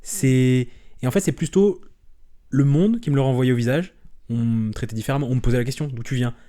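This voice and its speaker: A young French man chatting openly as an interview guest, relaxed and rambling, with frequent restarts and fillers. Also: medium pitch, brisk. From France. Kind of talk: casual conversational interview.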